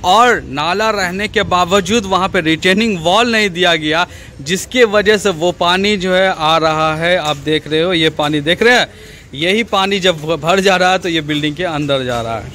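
A man's voice: sits high, a bit bright, a little hiss or hum. A man filming his construction site on a handheld phone, explaining step by step and asking himself questions before answering them. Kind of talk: casual on-site tutorial, walking and pointing. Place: outdoor construction site by a basement wall. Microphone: handheld phone camera.

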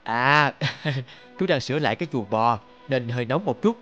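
A man voicing a friendly, avuncular village neighbour in an animated fairy tale, in a medium-pitched voice. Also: normal speed, dull audio, soft background music, close to an everyday voice. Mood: genial. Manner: genial, avuncular. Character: friendly, hard-working village uncle.

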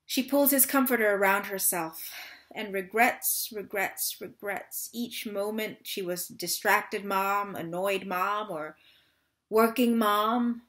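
A woman's voice: mid-range voice, slow, fairly bright tone. Woman acting a wistful, restless mother. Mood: regretful. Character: wistful, restless mother missing her grown son. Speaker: actor in a staged reading. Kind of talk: dramatic third-person monologue reading. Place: small room at home.

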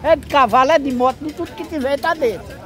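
A man's voice: extremely high pitch, fast, over a noisy crowd. A man with a Northeastern Brazilian accent chatting casually and narrating a street vlog, often repeating his phrases. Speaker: vlogger. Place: outdoor street market in a town square. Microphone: handheld camera, speaker behind it. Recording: noisy outdoor street recording with traffic.

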